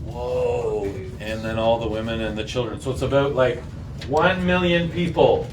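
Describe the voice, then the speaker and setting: A man's voice: mid-range voice, steady tone, normal speed. Playful man leading in an echoey room. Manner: playful, slangy, lots of questions to the group. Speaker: group Bible reading leader. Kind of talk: casual, interactive Bible lesson. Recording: roomy echo, distant mic, faint noise.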